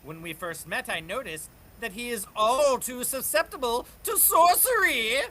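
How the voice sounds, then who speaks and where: A man playing a gloating, theatrical dark lord villain with grand, archaic speech in a live comic book reading. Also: very high voice, expressive, measured pace, full character voice, low-quality recording. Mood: sly gloating.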